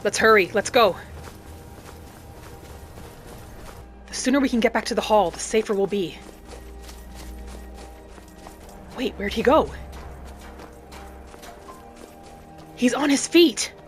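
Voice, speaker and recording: A woman voicing a vengeful young orphaned vampire hunter, narrating a video game in character with earnest drama and breathy asides. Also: on the high side, expressive, fast, lightly acted. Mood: urgent concern. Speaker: roleplay gamer narrating in character. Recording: music behind the voice. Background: game music.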